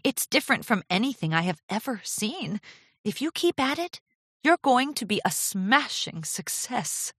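A woman's voice: on the high side, very animated, unhurried, fairly bright tone, husky, no echo, studio quality, pitched above the performer's own voice, heavily acted. A woman voicing a glamorous, self-assured female pony fashion designer in a storybook, with a grand, affected, dramatic manner. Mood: touched, warm.

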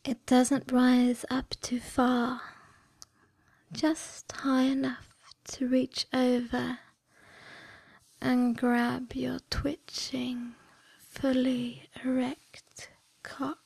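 Very slow whispering woman, breathy and close. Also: sits high, completely flat delivery, dry recording. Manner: hushed, deliberate, soothing, commanding. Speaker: erotic hypnosis audio performer. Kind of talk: scripted erotic hypnosis ASMR.

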